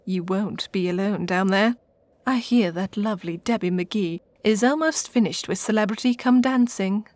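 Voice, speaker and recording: A woman's voice: mid-range voice, normal speed, darkish tone, dry recording, natural voice. A woman with an English accent playing a haughty, teasing witch and castle lady in a radio sitcom, with a theatrical, mock-grand manner. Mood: gracious, sly. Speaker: host of a comedy radio show.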